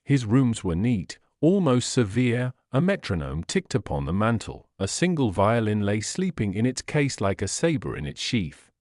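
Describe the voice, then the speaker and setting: A man reads a Victorian detective tale in a low, expressive voice. His storytelling is formal and measured, with literary polish, and the sound is close and dry. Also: dry recording. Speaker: narrator. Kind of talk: scripted audiobook narration of a Victorian detective story.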